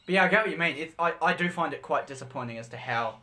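A teenage boy still in school, chatting casually as a podcast co-host, agreeable and halting with many fillers. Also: fairly high pitch, expressive, bright, forceful tone, a little hiss or hum. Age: teens. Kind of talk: casual chat.

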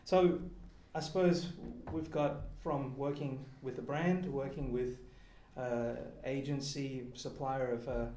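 A man's voice: mid-range voice, steady tone, slow, few pauses, dark tone, roomy sound, a little hiss or hum. A man hosting a panel discussion, speaking loosely with many fillers. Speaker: host, discussion facilitator. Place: meeting room.

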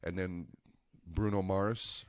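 A man co-hosting a casual podcast, stuffy from allergies, telling stories in a relaxed, rambling way with lots of fillers. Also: low-pitched, slow, no echo, clean studio sound. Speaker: podcast co-host and piano player. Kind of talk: casual chat.

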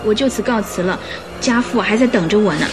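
A woman's voice: mid-range voice, brisk, over music, close to an everyday voice. An actress playing an innocent, sorrowful young woman in a radio drama, speaking politely and hesitantly. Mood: earnest, hopeful. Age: young adult. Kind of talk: Mandarin radio drama acting.

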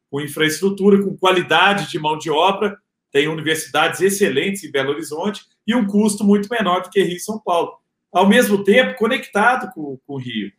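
A man in his thirties with a Rio de Janeiro accent talks loosely and casually, full of slang and fillers. His voice sits a bit high for a man, and the room around him echoes. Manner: relaxed slangy talk with filler words. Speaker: entrepreneur guest. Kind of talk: casual interview storytelling.

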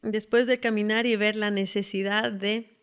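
A woman in her thirties with a Quito accent, an outdoor guide speaking in an unhurried, reflective way and pausing on fillers. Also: medium pitch, little pitch movement, smooth. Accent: Ecuadorian Spanish, Quito. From Ecuador. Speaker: outdoor guide and tour operator founder. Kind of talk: personal self-introduction.

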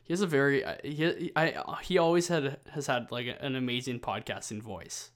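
A man's voice: mid-range voice, fairly even intonation, moderate pace. A man co-hosting a podcast, chatting casually and joking at his own expense. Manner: joking, self-deprecating, often restarts. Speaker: podcast co-host. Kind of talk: casual chat.

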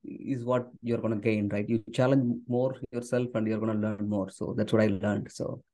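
A middle-aged man from southern India, with Tamil as his first language, chatting as a podcast guest. His voice is warm and mellow, and he often pauses on "uh" and "I mean" while he works through his thoughts. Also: fairly low pitch, fairly even intonation. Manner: conversational, many "uh" and "I mean" fillers. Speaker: podcast guest, software engineer and author. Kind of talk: casual interview conversation.

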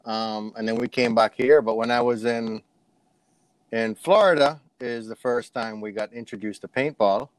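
A man's voice: mid-range voice, moderate pace, no room sound, rough recording. A man from Jamaica chatting casually as a podcast guest, telling personal anecdotes in an easygoing way.

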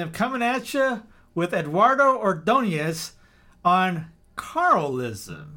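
A talkative man hosting a relaxed interview, his voice sitting a bit higher than most men's. He jokes and drifts into long stories. The tone is easygoing and conversational. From South Carolina, US. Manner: chatty, joking, rambling storyteller. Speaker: host. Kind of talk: casual podcast interview.

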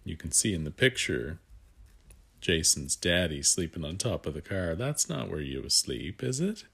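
A man reading a picture book aloud to young children, with gentle asides that guide the listener. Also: sits low, big pitch swings, normal speed, vocal fry, breathy edge.

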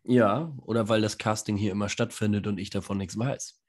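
A man co-hosting a podcast from his home kitchen, hoarse and scratchy from a cold, chatting casually with dry, teasing humor. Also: lowish voice, steady tone, fairly quick, a little mellow. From Northern Germany. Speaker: podcast co-host. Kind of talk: casual chat. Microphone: home mic in a kitchen.

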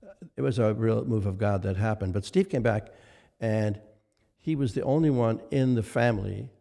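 An older man, a minister, recalling ministry history in a casual interview, speaking haltingly and repeating phrases for weight. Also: on the low side, creaky, dark tone. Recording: long reverberant hall echo.

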